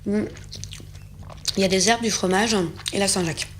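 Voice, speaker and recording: A young woman co-hosting a food show, chatting with a partner as she tastes spreads. She talks in an enthusiastic, rambling way, full of hesitant fillers, at a mid pitch, with faint noise behind her. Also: steady tone, normal speed. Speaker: co-host of a food segment. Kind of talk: casual tasting chat on a show set.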